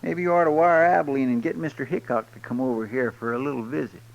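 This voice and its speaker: An actor playing a loyal, deferential, simple-hearted deputy in a 1950s radio western, talking in a folksy, hesitant way. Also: male voice, medium pitch, fairly even intonation, quick pace, vocal fry, lo-fi sound, close to an everyday voice. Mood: anxious. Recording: noisy, crackly old radio recording.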